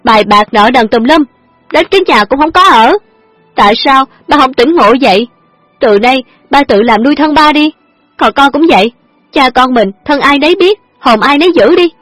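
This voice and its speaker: A hot-tempered young woman, played by a female storyteller, fires off shrill retorts, pitched well above the reader's own voice and pushed loud. Her tone has a husky, breathy edge over faint background music in a dry, close recording. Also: no room sound. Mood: angry scolding. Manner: shrill, scolding, fast retorts.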